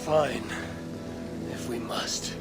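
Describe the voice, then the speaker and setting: A woman's voice: rumbling low, very slow, over loud music, heavily acted. An actress playing a blunt, suspicious Viking warrior woman in a video game, with a terse, guarded, challenging manner. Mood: curt, hostile. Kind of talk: video game cutscene acting.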